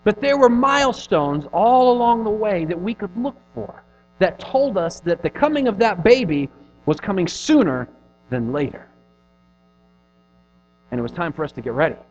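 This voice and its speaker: A man in his thirties preaches in an earnest, conversational style, his voice sitting a little high for a man. He presses hard on some phrases and drops low and quiet on others. The recording is dull and lo-fi, with soft music underneath. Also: animated, moderate pace. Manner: earnest, conversational preaching with rising and falling emphasis. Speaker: pastor. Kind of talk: sermon.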